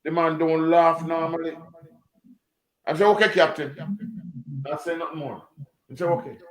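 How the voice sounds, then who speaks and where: A Jamaican man makes his case emphatically on a discussion panel, speaking in a somewhat high voice. His audio has a roomy echo to it. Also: fairly even intonation, normal speed. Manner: forceful, emphatic, preachy. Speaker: panel guest.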